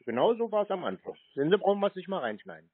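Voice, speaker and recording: A man's voice: high voice, big pitch swings, fast, breathy edge, dry recording. A man bantering casually on a call, nonchalant, stubborn and teasing, as he strings a caller along. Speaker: prankster taking scam calls. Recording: narrow phone-like sound.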